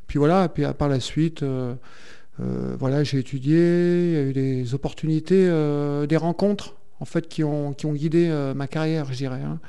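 A man's voice: a little high, steady tone, normal speed, creaky, mellow, clear, resonant tone. A man, a singer, chatting as a radio guest, hesitant with many fillers and restarts. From France. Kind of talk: radio interview chat.

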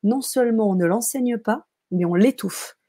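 A woman's voice: fairly high pitch, moderate pace, no echo, studio quality. A woman hosting a live stream, reading out viewer comments with enthusiasm and frequent hesitations. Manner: warm, enthusiastic, hesitant filler-heavy reading. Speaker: host.

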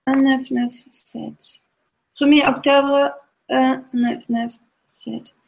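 A woman with a German accent, a German native speaker, slowly explaining a topic on a livestream and often hesitating with fillers. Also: sits high, flat delivery, creaky, a little mellow, rough recording. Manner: hesitant, many fillers and restarts. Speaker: Maya calendar guest speaker.

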